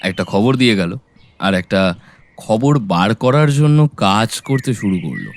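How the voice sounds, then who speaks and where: A man acting a sharp, resolute detective in an audio drama, speaking firmly and deliberately as he reasons aloud. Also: fairly low pitch, sing-song. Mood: measured, purposeful. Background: background music.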